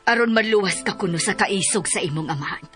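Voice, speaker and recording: A woman's voice: mid-range voice, fast talker, natural voice. An actress playing a caring, troubled and resigned middle-aged mother in a melodramatic radio drama. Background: faint music.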